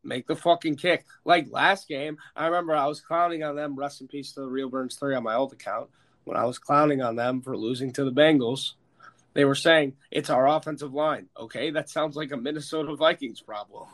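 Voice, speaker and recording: An American man hosting a casual, sweary sports chat, opinionated and emphatic, with a stuffed-up, slightly hoarse voice. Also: medium pitch, steady tone, a little fast, a bit bright. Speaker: sports podcast host. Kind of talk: casual, profane football chat.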